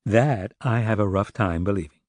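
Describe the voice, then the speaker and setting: Guy narrator doing a calm blunt lady sergeant in a crime audiobook, dry close sound. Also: fairly low pitch, no echo, natural voice. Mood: polite, firm. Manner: measured, direct. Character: blunt, composed female police sergeant. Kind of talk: audiobook character dialogue.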